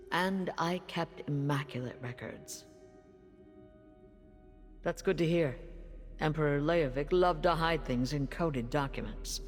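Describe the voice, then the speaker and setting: A woman playing a proud, precise veteran councillor in a fantasy video game, formal, composed and dry. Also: deep voice. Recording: long cavernous reverb, music behind.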